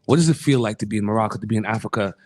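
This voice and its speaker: Fast-talking playful male host. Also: lowish voice. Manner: playful, slangy, joking. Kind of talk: casual talk show banter.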